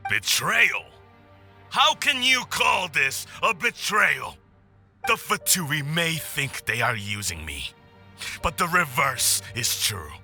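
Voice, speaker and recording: A man's voice: fairly high pitch, very animated, slow pace, creaky, sharp tone, soft background music, heavily acted. A man voicing a proud, fanatical middle-aged clan lord in a video game, imperious and grandiose.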